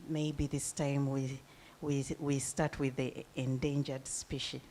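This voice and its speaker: Very low-voiced woman moderator, slow. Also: little pitch movement, warm tone, slight background noise. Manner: deliberate, with fillers. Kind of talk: moderating a panel.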